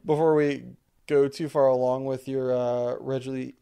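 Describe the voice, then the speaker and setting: A man's voice: mid-range voice, measured pace, mellow, clear, resonant tone. A man co-hosting a casual podcast, joking, laughing often and sometimes tripping over his words. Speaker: podcast co-host. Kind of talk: casual podcast chat with a mock ad read.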